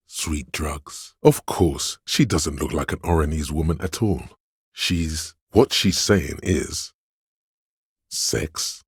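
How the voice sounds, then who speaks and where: An actor voicing a seductive, hedonistic inner voice of addiction and lust in a video game, savoring words in a husky, insinuating way. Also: male voice, no room sound, studio quality, exaggerated voice. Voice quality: deep, gravelly, husky. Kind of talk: video game character narration.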